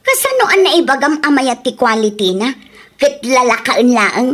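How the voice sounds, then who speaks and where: Radio play wife, high-pitched and naggy, always on about quality, woman acting. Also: animated, normal speed, a bit bright. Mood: scolding. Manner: scolding, complaining. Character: fussy wife who demands quality.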